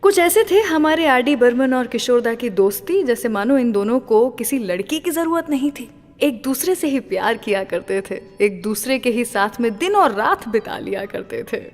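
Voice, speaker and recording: A woman's voice: a little high, animated, normal speed, in a large hall, soft background music. A woman hosting a radio show, telling a story between songs in a chatty, teasing way. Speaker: radio host.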